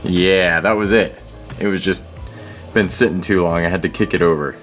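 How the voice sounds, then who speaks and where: A man's voice: low voice, steady tone, normal speed, music in the background. A man narrating a hands-on repair vlog casually from his garage, with a jokey, sarcastic manner and a habit of talking to objects. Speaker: host of a hobby repair video. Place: home garage.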